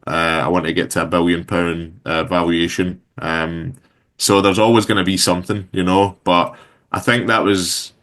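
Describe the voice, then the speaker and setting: A man in his thirties with a Glaswegian Scottish accent, chatting casually as an interview guest, loose and rambling with lots of fillers. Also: low voice. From Scotland. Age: 30s. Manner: relaxed, rambling with many fillers. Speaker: guest entrepreneur.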